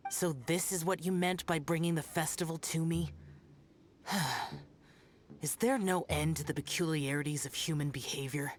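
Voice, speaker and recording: A woman's voice: low voice, fairly even intonation, a little slow, pauses often, slight background noise. A woman playing an aloof, world-weary ancient warrior guardian in a game dub, speaking in solemn, archaic formal phrases. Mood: aloof, puzzled. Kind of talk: dramatic video game dub acting. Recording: background music under the voice.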